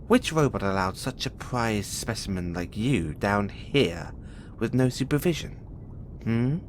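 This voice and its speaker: Man acting as a cocky, volatile caged experiment, animated. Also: on the low side, measured pace, a little hiss or hum. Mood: teasing, probing. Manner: teasing, swaggering, swinging from soft murmurs to loud outbursts. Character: huge imprisoned male experiment, cocky, bitter, volatile. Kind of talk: intimate audio roleplay monologue addressed to the listener.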